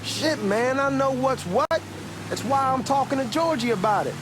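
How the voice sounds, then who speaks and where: An excitable young hustler from a crime game, with a Southern American accent. His pitch swings widely as he talks fast slang, cocky and chummy. A clear bed of engine and street noise sits behind him. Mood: persuasive. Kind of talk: acted game dialogue, casual banter. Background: moving car ambience.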